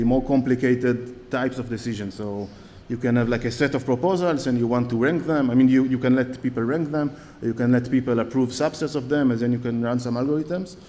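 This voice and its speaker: A man's voice: medium pitch, dark tone. Israeli guy giving a conference talk, lots of ums and restarts, echoey hall. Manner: hesitant, many fillers and restarts. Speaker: conference speaker. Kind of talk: conference talk with audience questions. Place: large conference hall on stage. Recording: long hall reverb.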